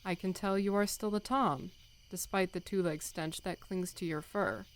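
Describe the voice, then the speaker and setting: A woman voicing a stern, dignified female cat who leads a clan, in a measured, commanding audiobook drama style. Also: on the low side, lightly acted. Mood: solemn. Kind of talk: audiobook fandub acting.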